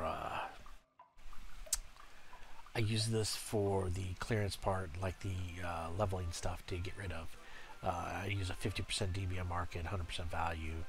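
A man with a stuffy nose from a cold, walking through game settings in a casual, halting tutorial full of uhs and restarts. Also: low voice. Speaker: game guide creator. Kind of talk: casual screen-recorded tutorial.

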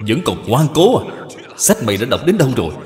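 A man voicing a stern, quick-tempered father from old China in a cartoon, with a scolding, commanding manner. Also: medium pitch, very animated, darkish tone, close to an everyday voice. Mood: angry, commanding. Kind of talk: cartoon dubbing. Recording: long reverb, music behind.

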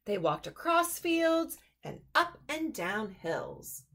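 A woman's voice: on the high side, gravelly. A woman in her thirties reading a children's picture book aloud expressively at home into a webcam. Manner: expressive, unhurried narration. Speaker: storyteller. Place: small room at home, indoors.

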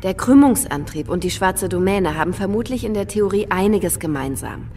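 An actress in a radio drama playing a thoughtful, cautious woman with a measured way of talking. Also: medium pitch, animated, dark tone, a little hiss or hum, lightly acted. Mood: thoughtful, calm. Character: thoughtful, cautious woman company owner in a science-fiction future. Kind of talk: German radio drama acting. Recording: studio radio drama with faint music.

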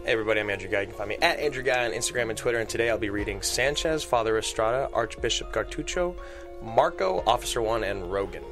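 A man's voice: fairly low pitch, fast, over music. A man in a table-read cast introducing himself casually into a studio microphone. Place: small podcast studio. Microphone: close studio microphone.

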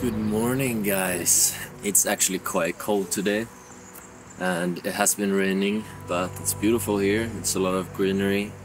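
Relaxed low-voiced young man outdoors. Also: measured pace. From Sweden. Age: 20s. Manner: relaxed and reflective, with loose fillers like "like" and "yeah". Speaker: vlogger. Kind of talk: casual travel vlog talk to camera. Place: outdoors in nature. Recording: music playing underneath.

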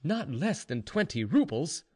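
Storybook tavern keeper, male reader acting: mocking, goading, exclamatory, high and very animated pitch. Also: a little slow, breathy edge, exaggerated voice. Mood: taunting. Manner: taunting, exclamatory.

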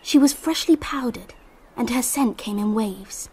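High-voiced, thoughtful English girl. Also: unhurried, warm tone. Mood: reflective. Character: thoughtful young English girl recounting a summer. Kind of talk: radio drama narration and acting. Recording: faint background noise.

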